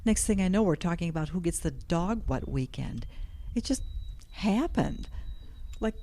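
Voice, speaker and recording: A woman playing a rueful, excitable middle-aged woman in an audio drama, plain-spoken and self-deprecating. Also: lowish voice, normal speed. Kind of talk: naturalistic audio drama acting.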